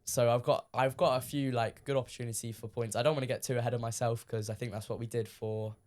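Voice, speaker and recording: A teenage man from the UK, a guest on a podcast, talking casually with lots of ums, his voice a little croaky from shouting. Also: mid-range voice, fast talker. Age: teens. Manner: relaxed, many ums, recounting matches. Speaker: guest player.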